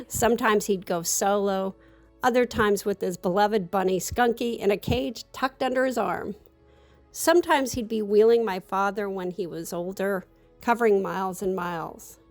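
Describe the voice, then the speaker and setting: A woman's voice: mid-range voice, gravelly. A woman reading a prepared memorial tribute to a lost sibling. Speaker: bereaved sister giving a eulogy.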